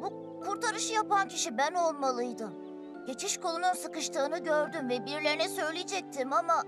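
A woman's voice: extremely high pitch, expressive, normal speed. A woman voicing an eager, plucky young male train engine in a children's cartoon, earnest and boyish. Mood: regretful. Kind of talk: animated children's series dubbing. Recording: loud music bed under studio voice.

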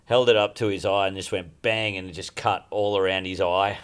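A man's voice: sits low, steady tone, clean tone. An Australian man in his thirties, co-hosting a podcast, rambling and joking in casual banter. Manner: rambling, joking, quick to laugh. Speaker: podcast co-host. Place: podcast studio, sofa. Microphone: boom studio mic.

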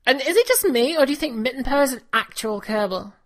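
A woman's voice: high-pitched, sing-song, quick pace. A woman co-hosting a podcast in casual, chatty conversation. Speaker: podcast co-host. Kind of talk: casual chat.

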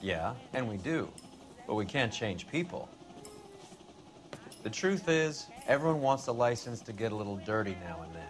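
Cynical American 1940s vice cop, male actor, slangy and sardonic, lowish voice, clear music underneath. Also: lively intonation, normal speed, long pauses, close to an everyday voice. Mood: cynical, worldly. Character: cynical, brash 1940s vice squad detective. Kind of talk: video game dialogue. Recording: music behind the voice.

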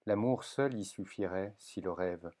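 A man with a fairly low voice gives a solemn poetry reading. He speaks very slowly and deliberately, setting short phrases apart with long pauses. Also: mellow. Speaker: poetry reader.

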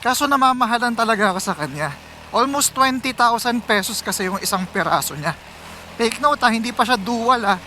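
A Filipino man talks casually to his viewers while riding. His voice sits high and has a bright, sharp edge. He drops English phrases into his conversational flow, with some road noise behind him. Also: expressive, fairly quick. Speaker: motorcycle vlogger. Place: outdoors, riding a motorcycle on city roads.

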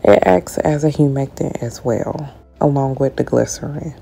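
Low, gravelly, stuffy-voiced woman. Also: fairly even intonation, moderate pace, dark tone. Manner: casual, explanatory, addresses viewers directly. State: stuffy, recovering from illness. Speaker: skincare tutorial creator. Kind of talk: voice-over tutorial narration.

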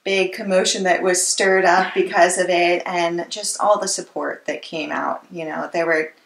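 A woman's voice: on the low side, fairly even intonation, moderate pace, vocal fry, a bit bright, a little hiss or hum. A young American woman in her twenties hosting an interview in a casual, chatty style. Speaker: interviewer, host. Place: living room with books, indoors. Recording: roomy, echoey living room.

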